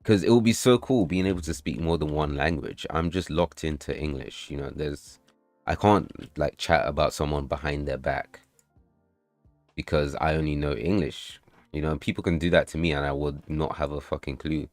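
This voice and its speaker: A man livestreaming while he builds and tests his game, chatting loosely with viewers, swearing and bursting into excited outbursts. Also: extremely low pitch, normal speed, no room sound, faint music behind. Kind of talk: casual livestream chat while coding and playtesting.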